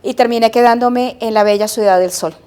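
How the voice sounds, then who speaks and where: A middle-aged woman from Colombia, an epidemiologist answering interview questions in a measured, explanatory way. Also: on the high side, steady tone, darkish tone, clear, resonant tone. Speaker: epidemiologist guest.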